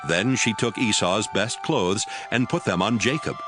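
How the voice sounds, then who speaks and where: Low-voiced male scripture narrator. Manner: measured, even storytelling. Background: background music.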